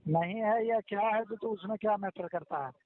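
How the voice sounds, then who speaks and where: A man's voice: high-pitched, normal speed. A man, a loan recovery official on a recorded phone call, scolding and pushing repetitively for payment. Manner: pushy, scolding, repetitive, interrupting. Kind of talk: phone argument demanding repayment. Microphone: telephone call recording.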